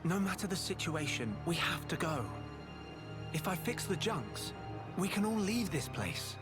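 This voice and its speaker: A determined young fantasy game hero. His fairly high voice is earnest and very expressive, with music playing behind it. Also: a little slow. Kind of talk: video game voice acting.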